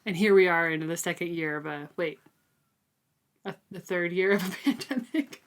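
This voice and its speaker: A woman with a slightly low voice chats as a podcast co-host. She discusses a book casually, in an informal, talkative manner full of small filler words like "like" and "super". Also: moderate pace, slight background noise. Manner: chatty, frequent "like" and "super".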